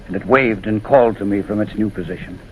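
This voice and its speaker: A man's voice: lowish voice, fast, close to an everyday voice. An American actor playing a haunted railroad signalman, fraught and insistent, obsessed with a ghost, in old-time radio drama style. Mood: anguished, urgent. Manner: fraught, intense, repeats phrases insistently. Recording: muffled, noisy vintage radio recording.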